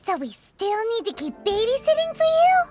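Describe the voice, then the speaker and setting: Super high squeaky game fairy, cheeky n excitable, music playing behind. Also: female voice, moderate pace, full character voice. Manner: childlike, blunt, excitable. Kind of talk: English game dub voice acting.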